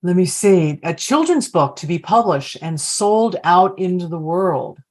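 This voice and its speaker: A woman's voice: lowish voice, lively intonation, a little slow, a little mellow. Reflective woman leading an online workshop. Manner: reflective, poses open questions to the group. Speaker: leadership coach leading a group session. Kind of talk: workshop facilitation. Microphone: video call.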